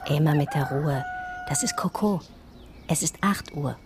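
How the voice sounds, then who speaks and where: A composed detective's assistant in a radio drama. Her low voice moves expressively through calm explanations, with faint background ambience. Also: a little slow, background noise, heavily acted. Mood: calm, amused. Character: composed young woman detective's assistant, unhurried. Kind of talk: German radio drama acting.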